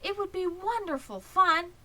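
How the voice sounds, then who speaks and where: A woman's voice: very high-pitched, a little slow, sharp tone, pitched above the performer's own voice, full character voice. A woman voicing an innocent, eager young male pig in a storybook, earnest and pleading. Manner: earnest, wide-eyed, beseeching. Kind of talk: storybook character reading.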